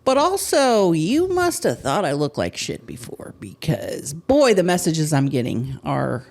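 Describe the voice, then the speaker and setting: A woman hosting a podcast, chatting candidly and with animation, her loudness swinging up and down. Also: deep voice, measured pace, creaky. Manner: candid, animated, swings between loud and soft. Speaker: podcast host. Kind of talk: casual chat.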